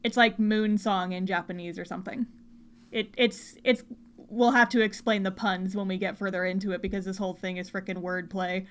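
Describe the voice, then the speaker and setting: A woman co-hosting a podcast, chatting casually in a playful, expressive and slangy way. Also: mid-range voice, a little fast. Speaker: podcast co-host. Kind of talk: casual chat about anime.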